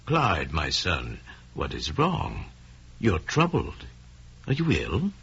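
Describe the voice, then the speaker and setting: Male narrator as fatherly priest, gentle, emphatic, swooping intonation, very breathy rasp, dull radio sound. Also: low voice, huge pitch swings, slow pace, full character voice. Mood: concerned. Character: kindly, concerned village priest, fatherly and earnest. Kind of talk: old-time radio drama acting.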